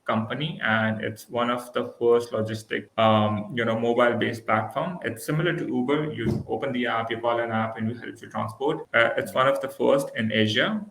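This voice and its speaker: Gravelly young man from Pakistan. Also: medium pitch, fairly even intonation, normal speed, hardly any pauses, fairly bright tone, roomy sound, slight background noise, low-quality recording. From Lahore, Pakistan. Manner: open, earnest, many fillers. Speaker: guest, product manager. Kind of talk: casual podcast interview.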